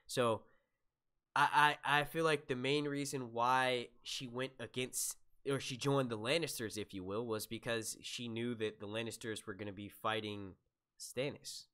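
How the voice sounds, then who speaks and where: A man's voice: medium pitch, steady tone, unhurried, pushed and bright. A man hosting a casual podcast chat, rambling with lots of fillers. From United States. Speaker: podcast host.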